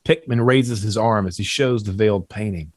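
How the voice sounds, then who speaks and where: A man's voice: on the low side, moderate pace, dry recording, clean studio sound. A man reading stage directions from a horror screenplay as scripted narration.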